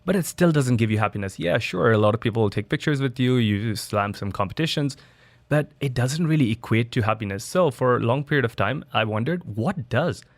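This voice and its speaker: A man's voice: medium pitch, lively intonation, a little fast, dry recording. A man from Dubai, a studio guest, answering interview questions in a loose, conversational way with restarts and fillers. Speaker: studio guest, biohacking entrepreneur.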